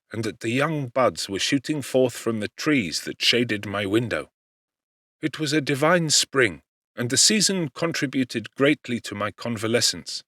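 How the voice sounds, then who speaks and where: A male narrator reads literary fiction in an expressive, articulate manner. His pitch moves around a great deal while the delivery stays measured, and the recording is dry, with no room sound. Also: no echo. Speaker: audiobook narrator, actor. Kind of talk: dramatic literary narration of a gothic novel.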